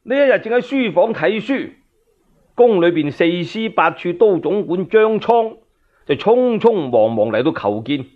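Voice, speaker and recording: A man with a Cantonese accent telling a historical novel as a solo storyteller, in an animated, colloquial and dramatic way. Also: sits high, a little slow. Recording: dull lo-fi radio recording.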